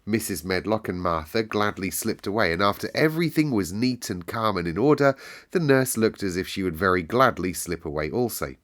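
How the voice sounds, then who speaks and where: A man's voice: on the low side, expressive, brisk, fairly bright tone. A man narrating a storybook as host, with casual chatty asides to listeners. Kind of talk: read-aloud storybook narration and casual chat.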